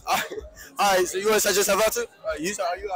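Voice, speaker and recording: A man's voice: high voice, fairly even intonation, very fast. A young man doing a casual street interview at a crowded beach party, laid-back, repeating himself as he talks. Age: young adult. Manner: laid-back, repeats "all right, bet". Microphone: handheld mic. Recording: loud crowd and music behind.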